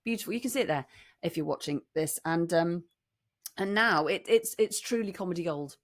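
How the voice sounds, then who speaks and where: A woman's voice: lowish voice, lively intonation, a little fast. A British woman co-hosting a podcast, telling anecdotes casually with wry, self-deprecating humour. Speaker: podcast co-host.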